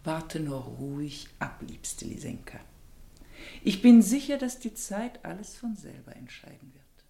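An actress playing a calm, indulgent middle-aged aunt in a German radio drama, gravelly and soothing. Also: female voice, deep voice, full character voice. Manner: unhurried, soothing.

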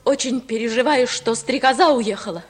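A woman's voice: a little high, moderate pace, natural voice. An actress playing a spirited, caring young farm girl in a 1950s radio comedy. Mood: teasing. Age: young adult.

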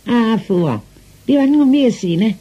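An actress playing a vain, cheeky young princess in a comic radio drama, coy and deliberate. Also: female voice, a little high, expressive, warm tone, husky, muffled recording. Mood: coy, teasing.

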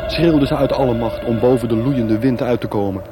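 A man's voice: medium pitch, a little fast. A man narrating a radio play as scripted, dramatic storytelling. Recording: music bed behind. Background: music.

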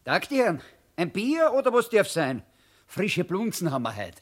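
An actor playing an excitable middle-aged innkeeper and father in a satirical radio drama, with theatrical delivery. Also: high-pitched, moderate pace, full character voice. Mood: placating. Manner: theatrical, repeats slogans. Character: excitable male innkeeper, obsessive ranting father.

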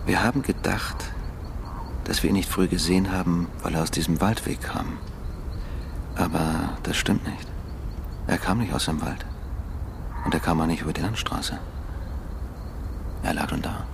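An actor playing a weary returned husband in a naturalistic radio drama, speaking in a halting, subdued and deliberate way. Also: male voice, sits low, fairly even intonation, vocal fry, background noise. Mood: grave, confessing. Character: weary husband returned after years of captivity, confessing.

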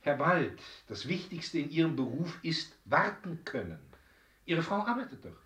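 An actor in a German radio drama playing a brusque, condescending gatekeeper at a casting agency, officious and emphatic. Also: male voice, fairly high pitch, big pitch swings, moderate pace, fairly bright tone, far from the mic. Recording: roomy echo, off the mic.

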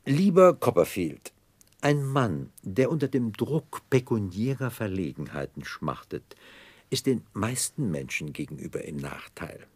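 An actor in a German radio play playing a pompous, grandiloquent gentleman clerk with a florid, declamatory way of talking. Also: on the low side, big pitch swings, unhurried, husky, dry recording, full character voice. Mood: dignified, florid. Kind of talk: 1960s German radio drama acting.

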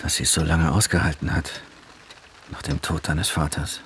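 A man playing a caring, worried companion in a naturalistic radio drama, speaking in a measured, gently concerned way. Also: extremely low pitch, little pitch movement, slight background noise. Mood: curious, gentle. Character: Max, worried, caring male companion of an actress. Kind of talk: naturalistic German radio play acting.

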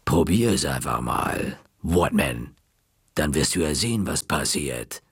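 A man's voice: extremely low pitch, expressive, takes it slowly, gravelly, breathy edge, dry recording, voice pushed down, full character voice. A man voicing a stern, bony older female librarian with a dry, curt, faintly menacing way of talking.

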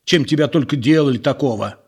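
A man's voice: mid-range voice, quick pace, slightly rough, voice pushed up, close to an everyday voice. Man as irritated countryman, blunt, sarcastic, scolding, audiobook character. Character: gruff, exasperated village man, mocking and scolding.

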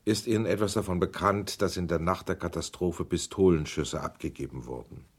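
An actor in a German radio documentary drama playing a persistent inquiry examiner, putting formal, pointed, insistent questions. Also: male voice, deep voice, brisk, husky. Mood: persistent, polite. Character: probing, persistent male inquiry committee examiner.

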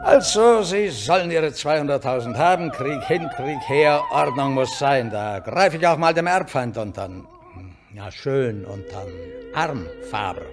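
A man's voice: fairly high pitch, big pitch swings, measured pace. Over opera music comes a blustering Prussian statesman, his voice swinging widely. He barks brusque, clipped orders, breaks off into asides and stays bossy and jovially cynical, in radio drama style. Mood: bossy, impatient. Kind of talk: German radio drama acting. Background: opera performance.

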